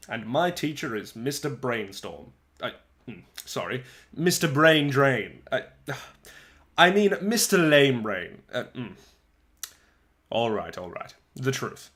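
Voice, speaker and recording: Storybook narrator: eager, wry eleven-year-old boy played by an adult man, mid-pitched, conversational, full of asides and dramatic pauses. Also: a bit bright. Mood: sheepish. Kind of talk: dramatic first-person storybook reading.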